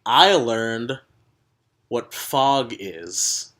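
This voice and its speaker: A young man hosts a casual podcast in a mid-pitched voice. He jokes, teases and rambles from one topic to the next. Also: expressive, extremely slow, fairly bright tone. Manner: joking, teasing, rambling. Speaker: podcast host. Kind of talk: casual chat.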